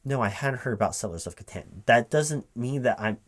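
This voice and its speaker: A man speaking as a recruiting manager in a one-sided ASMR interview roleplay, questioning in a halting, puzzled way. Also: mid-range voice, expressive. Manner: halting, puzzled questioning, checking back with "right?". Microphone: close microphone with typing sounds behind. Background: computer keyboard typing.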